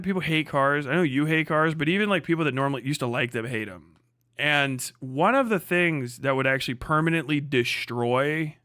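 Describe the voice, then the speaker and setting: A man's voice: mid-range voice, a bit bright, smooth, no room sound, studio quality. A man hosting a casual livestream, talking bluntly with lots of slang, swearing and sardonic exaggeration. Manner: sardonic, hyperbolic. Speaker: livestream host. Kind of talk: casual livestream rant, chatting with viewers.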